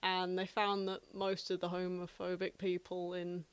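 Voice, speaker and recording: A woman's voice: lowish voice, completely flat delivery, moderate pace, clear, resonant tone, dry recording. A woman co-hosting a podcast in casual chat, informal and often giggling as she talks. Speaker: podcast co-host.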